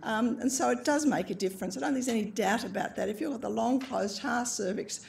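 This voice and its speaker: A woman chairing a hospital panel discussion, quick and plain-spoken. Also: a little high, creaky, darkish tone, slight background noise. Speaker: panel moderator, obstetrician.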